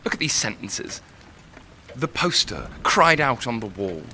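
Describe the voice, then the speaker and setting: A tormented young writer in an audio drama, self-doubting and lovesick. His voice is sharp and forceful, raw with feeling, with slight noise behind it. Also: medium pitch, big pitch swings, a little slow, full character voice. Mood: brooding. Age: young adult. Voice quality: intense, emotional delivery.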